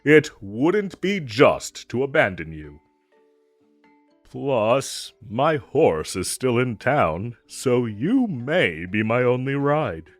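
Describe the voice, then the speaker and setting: A gallant fantasy knight, acted by a man, speaks in grand, old-fashioned phrases with a playful edge. His pitch swings expressively and his delivery is unhurried, in the manner of an audio roleplay. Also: very animated, dry recording, faint music behind. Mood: warm, relieved. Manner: formal, archaic, grandiose phrasing. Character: gallant, theatrical knight, honorable with a playful teasing streak.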